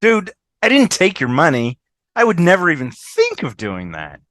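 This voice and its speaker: A woman's voice: lowish voice, huge pitch swings, normal speed. A woman playing a blunt, prickly woman in a comedy table read. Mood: irritated.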